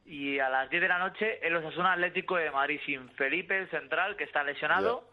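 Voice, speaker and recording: A man's voice: on the high side, fairly quick. A male sports journalist calling in, rattling off fixtures and results with some hesitation. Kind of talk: sports news chat. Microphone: telephone line. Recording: tinny phone line.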